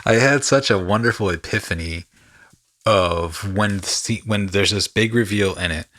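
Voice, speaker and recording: A man's voice: fairly low pitch, normal speed, a bit bright, no echo. A man hosting a casual podcast conversation, talking with rambling enthusiasm and often repeating his phrases. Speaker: podcast host and actor.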